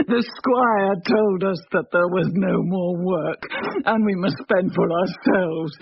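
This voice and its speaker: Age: 20s. Figure: weeping starving widow's ghost voiced by a woman. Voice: high, slow. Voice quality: tearful, grieving. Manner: slow, broken confession. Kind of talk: radio drama acting. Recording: dull lo-fi radio sound.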